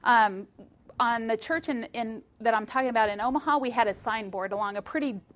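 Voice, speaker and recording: A woman's voice: on the high side, a little fast, fairly bright tone. A woman teacher giving an informal presentation in a conversational, storytelling way.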